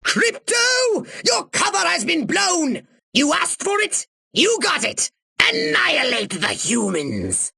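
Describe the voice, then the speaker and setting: An actor playing a pompous, scheming alien commander in a video game, theatrical and scolding with a raspy voice. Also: male voice, very high-pitched, huge pitch swings, slow, hardly any pauses, pushed and bright, no echo, clean studio sound, heavily acted. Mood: excited, urgent. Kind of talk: video game character voice lines.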